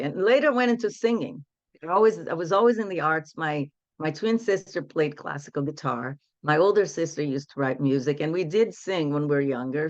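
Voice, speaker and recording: An older woman from Brazil speaks English. She talks about her path in an earnest, reflective way. The audio is dull and lo-fi, with a dead, dry sound and no room echo. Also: sits low. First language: Portuguese. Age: 60s. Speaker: guest, women's empowerment coach. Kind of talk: casual interview storytelling.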